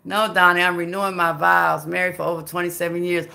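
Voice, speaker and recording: A Southern woman in her fifties hosts a casual livestream, chatting warmly and folksily with viewers. Her voice is gravelly with a bright, forward edge. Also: on the low side, little pitch movement, quick pace. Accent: Southern American. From Memphis, USA. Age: 50s. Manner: folksy, chatty, calls viewers baby and girl.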